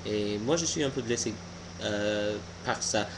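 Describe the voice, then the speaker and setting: A young American man, a native English speaker, giving an informal opinion monologue haltingly, searching for words. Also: lowish voice, noisy background. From United States. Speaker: video blogger.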